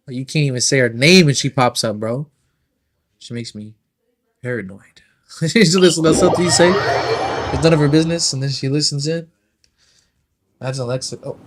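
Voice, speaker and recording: Casual male game streamer, mid-pitched. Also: slight background noise. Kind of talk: casual live-stream chat.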